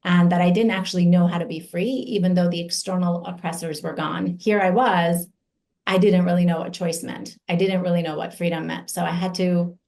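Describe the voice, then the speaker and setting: A woman in her forties who grew up in Iran, giving an earnest personal testimony as an invited speaker, slow and deliberate with emphatic pauses. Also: on the low side, darkish tone.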